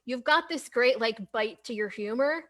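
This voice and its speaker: A woman with a fairly high voice chats as an interviewer. Her delivery is informal and a bit halting, with words repeated as she shapes each question. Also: a bit bright. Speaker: interviewer, host. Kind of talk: casual interview chat. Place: live online video conversation.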